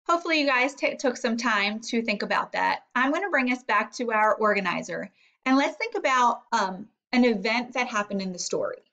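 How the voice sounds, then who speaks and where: A woman's voice: on the high side, animated, brisk, sharp tone, highs cut off. A woman teacher reading a storybook aloud and guiding children with instructive questions. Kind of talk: storybook read-aloud with guided lesson.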